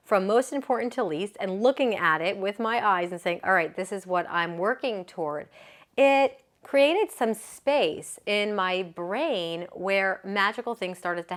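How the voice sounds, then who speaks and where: A woman's voice: mid-range voice, animated, unhurried, fairly bright tone. A woman yoga business coach giving a motivational talk, chatty and earnest, often checking in with the listener and laughing easily.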